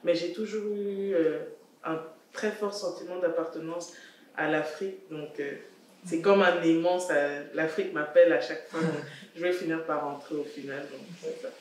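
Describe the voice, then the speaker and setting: A woman's voice: sits low, steady tone, moderate pace, slight background noise. Woman in her 20s from congo, plainspoken, sounds a bit far from the mic. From Congo-Kinshasa. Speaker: student, panel guest. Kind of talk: casual panel discussion. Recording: echoey room, distant mic.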